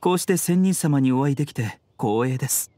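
A man voicing a gentle, tactful physician in a video game, speaking in very polite, measured, formal phrases. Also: mid-range voice, expressive, no room sound, lightly acted. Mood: respectful. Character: courteous, composed male physician, gentle and tactful.

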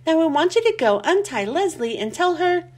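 A woman reading a calm, patient yard teacher. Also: very high-pitched, moderate pace. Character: friendly adult man, playground yard teacher.

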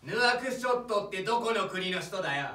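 Male actor as irritable young climber, grumbling retorts, bright forceful voice, echoey stage sketch recording. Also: high-pitched, animated, fairly quick, a little hiss or hum. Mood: exasperated. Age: young adult. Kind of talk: live stage sketch comedy. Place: small theatre stage, indoors. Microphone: far camera. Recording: distant, echoey theatre recording.

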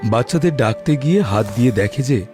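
A man's voice: medium pitch, lively intonation, a little fast. A man narrating a scripted cartoon story as a storyteller. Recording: prominent music under the voice.

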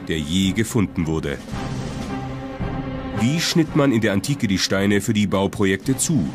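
Male narrator for documentary, lower register, scripted read over a prominent music bed.

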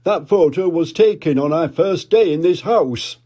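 Older man doing a jolly bumbling daddy pig, storybook, loud, husky. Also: on the high side, moderate pace, voice pushed up, lightly acted.

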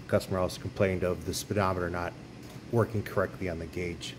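A man's voice: deep voice, steady tone, fast talker, background noise. A man working as a mechanic, explaining a repair step by step in a plain, matter-of-fact way from his garage workshop. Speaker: snowmobile mechanic. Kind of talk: hands-on repair tutorial.

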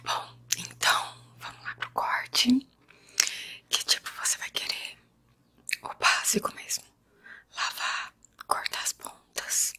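A woman's voice: very deep voice, wildly swooping pitch, slow pace, creaky, pauses often, breathy edge. Whispering young Brazilian woman. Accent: Brazilian Portuguese. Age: 20s. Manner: soft, gentle, addressing the listener as a client. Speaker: ASMR creator playing a hairdresser. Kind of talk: whispered ASMR roleplay. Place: small room at home.

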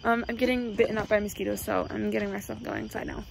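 A teenage girl with a hoarse, strained voice, chatting casually and trailing off with ums as she vlogs into a phone held at arm's length. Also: mid-range voice, fairly even intonation, quick pace, low-quality recording. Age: teens. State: hoarse from laryngitis. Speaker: vlogger. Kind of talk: casual vlog narration. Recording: phone audio with loud background noise.

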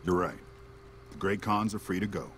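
An actor playing a dutiful, conflicted military lieutenant in a video game, speaking in a measured, earnest way. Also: male voice, low-pitched, expressive. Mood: resigned relief. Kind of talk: video game dialogue acting.

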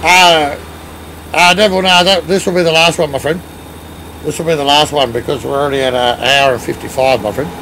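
A middle-aged man with a strong Australian accent chats through a headset while streaming, teasing his friends and thanking viewers by name. His voice sits a bit high, with a faint background hum. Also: fairly even intonation, a little fast, bright, forceful tone, low-quality recording. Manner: banters, thanks chat viewers, swears playfully. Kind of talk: casual gaming chat. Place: home gaming room, indoors.

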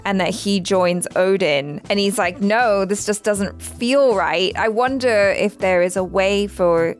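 A woman's voice: medium pitch, measured pace, soft background music. A woman hosting a reaction video in casual chat, informally thinking aloud as she talks.

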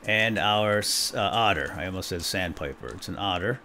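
A man's voice: low voice, moderate pace, pushed and bright, slight background noise. A man giving casual let's-play commentary, playfully talking to himself with mild folksy exclamations. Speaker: gaming YouTuber, let's-play host.

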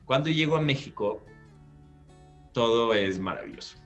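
Man, thirties, animated storyteller, laptop video call, faint background music. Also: mid-range voice, normal speed, lo-fi sound. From Peru. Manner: animated, gestures while recounting, restarts phrases. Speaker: guest actor. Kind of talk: storytelling interview answer. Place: bright living room at home. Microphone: laptop webcam on video call.

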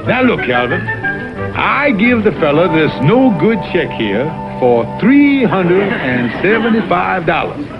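A man's voice: high voice, big pitch swings, measured pace, heavily acted. A man voicing a pompous, scheming Southern colonel, a folksy cartoon animal con artist, in a vintage cartoon. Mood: smug. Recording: dull old TV cartoon soundtrack, music behind.

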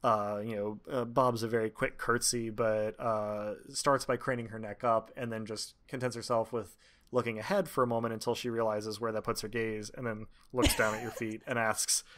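A man's voice: fairly low pitch, little pitch movement, normal speed, a bit bright. Man game master narrating thoughtfully. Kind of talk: improvised tabletop game narration.

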